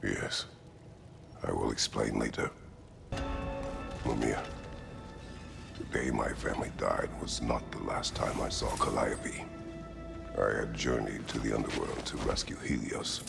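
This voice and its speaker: A man voicing a grave, terse middle-aged warrior haunted by past cruelty, with a deep, gravelly, breathy rumble, in a video game. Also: steady tone, loud music behind the voice, exaggerated voice. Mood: terse, guarded. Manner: terse, solemn, measured. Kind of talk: dramatic video game voice acting.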